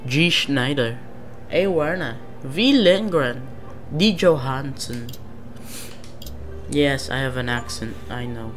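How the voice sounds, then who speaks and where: A man's voice: mid-range voice, animated, slow pace, a bit bright. A man with a Filipino accent giving laid-back, casual gameplay commentary, swearing now and then and talking to himself. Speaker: gaming channel host. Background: game music and car engine.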